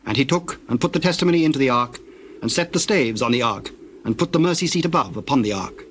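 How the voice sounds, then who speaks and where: A man narrating scripture in a steady, measured reading voice. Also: medium pitch, a little hiss or hum.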